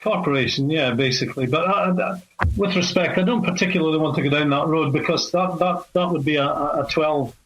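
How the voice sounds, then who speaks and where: A Scottish man in his sixties, calling in to a radio talk show and speaking in a measured, hesitant way with many fillers. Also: on the high side, fairly bright tone, slight background noise, poor audio. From north of Scotland. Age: 60s. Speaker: caller.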